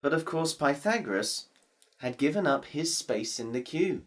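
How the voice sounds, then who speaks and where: A woman telling a folk tale to children, playful and expressive. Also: low-pitched. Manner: expressive, playful, drawn-out repetitions. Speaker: storyteller. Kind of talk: storytelling for children.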